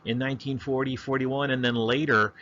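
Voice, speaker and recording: A man's voice: mid-range voice, level tone, brisk, pushed and bright, lo-fi sound, a little hiss or hum. A man teaching history in a loose, conversational way, talking over slides with frequent ums and restarts. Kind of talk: casual lecture over slides.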